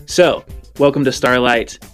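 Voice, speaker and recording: A man's voice: medium pitch, fairly even intonation, a little slow, faint music behind. A man hosting a tabletop game show, talking casually and improvising descriptive narration in fragments as game master.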